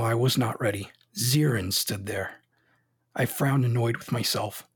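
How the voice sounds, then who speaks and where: A man acting a proud young male emperor in an audio drama, bitter with grief, curt and haughty in a husky voice. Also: mid-range voice, exaggerated voice. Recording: music under the voice.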